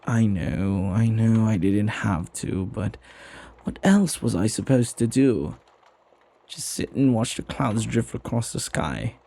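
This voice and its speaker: Guy doing a flirty butler for asmr, slow n husky, doting on his master, formal wording. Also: on the low side, dark tone, full character voice. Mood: playful complaining. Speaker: ASMR roleplay voice actor. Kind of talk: ASMR roleplay acting.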